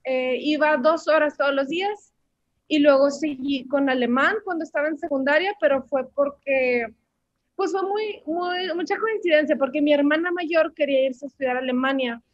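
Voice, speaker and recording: High-voiced young Mexican woman. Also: normal speed, no room sound, highs cut off. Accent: Mexican Spanish. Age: 20s. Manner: chatty, storytelling, many fillers. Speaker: language teacher, guest. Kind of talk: casual interview answers.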